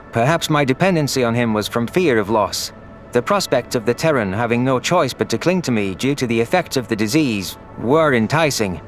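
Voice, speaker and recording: A man reading dramatic first-person scripted narration as an audiobook narrator. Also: medium pitch. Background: background music.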